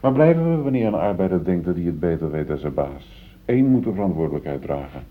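Radio play: uneasy middle-aged foreman, self-justifying, low bass register, narrow muffled sound. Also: very animated, quick pace. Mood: indignant, firm. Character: newly promoted middle-aged foreman, defensive, conservative, uneasy. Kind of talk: Dutch radio play acting. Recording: muffled phone-like old radio recording.

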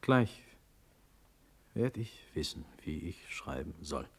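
Husky, proud young prince, widely swinging delivery. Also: male voice, rumbling low, big pitch swings, slow, heavily acted. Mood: hushed, brooding. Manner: solemn, declamatory, swinging from hushed to forceful. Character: proud, honour-bound condemned young prince and officer. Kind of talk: classical German verse radio drama acting.

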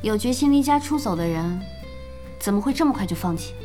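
A woman playing a composed, perceptive, competitive young gamer in a radio drama, calm and understated. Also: mid-range voice, a little fast, lightly acted. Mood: calm, assured. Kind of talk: Chinese radio drama acting. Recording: music behind the voice.

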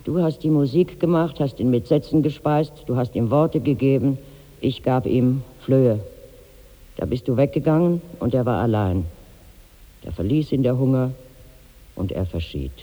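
A man's voice: mid-range voice, normal speed, close to an everyday voice. Panna, a man as a solemn, reproachful servant, reverberant. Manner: solemn, accusing, theatrical. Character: Panna, mournful, reproachful servant of a dead master. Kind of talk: 1960s German radio play acting. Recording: dull vintage radio recording, long reverb.

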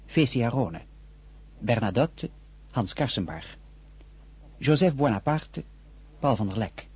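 A male radio announcer with a husky, mid-pitched voice. He reads scripted credits formally, item by item. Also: darkish tone.

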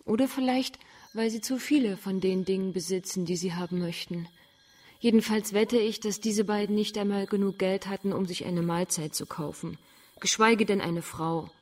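Actress as frank, gentle young woman. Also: medium pitch, normal speed, natural voice. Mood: earnest, reproachful. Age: young adult. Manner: candid, composed, earnest. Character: frank, gentle young blind woman who keeps singing crickets. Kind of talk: German radio drama acting.

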